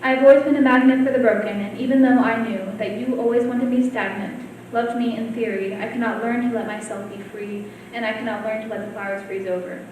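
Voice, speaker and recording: A teenage girl, a high school student, reciting her own poem at a reading. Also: steady tone, a little fast, few pauses, a little mellow. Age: teens. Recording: very echoey room, distant mic, faint noise.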